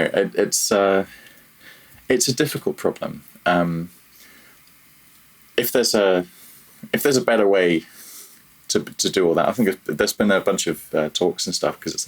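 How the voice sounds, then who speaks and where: A man talking casually as a technical interview guest, feeling his way through his thoughts and rephrasing as he goes. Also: deep voice. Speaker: podcast guest, audio programmer.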